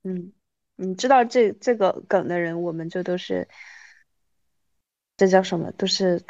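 A woman's voice: on the low side, unhurried, a little mellow, no room sound, dull audio. A woman in her thirties hosting a casual chat with an old friend, with a wry, self-mocking manner. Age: 30s. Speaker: podcast host.

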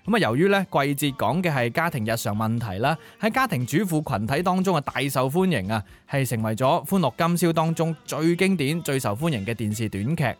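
A man speaking Cantonese co-hosts a radio music show, narrating pop history in an informative style. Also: mid-range voice.

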